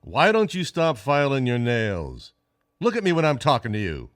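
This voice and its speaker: An actor playing a tough, stern police lieutenant who talks bluntly and demandingly in a detective radio play. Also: male voice, mid-range voice, sing-song, moderate pace, fairly bright tone, no room sound, studio quality. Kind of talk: 1940s radio detective drama acting.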